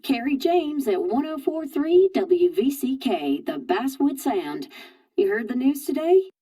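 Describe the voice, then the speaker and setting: Breezy local radio host, highish animated female voice, far off and noisy. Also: measured pace. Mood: breezy, newsy. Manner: breezy, conversational. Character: chatty small-town radio news presenter, woman. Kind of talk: local radio news chat.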